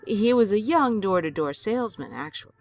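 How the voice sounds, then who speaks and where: An actress playing an elderly grandmother and wife, gentle and earnest, in an audio drama. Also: medium pitch, animated, a little fast, faint music behind, close to an everyday voice. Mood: troubled. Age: older. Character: elderly grandmother, lonely and worried.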